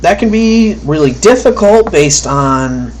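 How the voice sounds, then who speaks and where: A man's voice: fairly high pitch, lively intonation, unhurried, a little mellow, slight background noise, poor audio. A man giving a casual, conversational recorded lecture, often checking in with "right?" and acting out quoted thoughts. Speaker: college instructor.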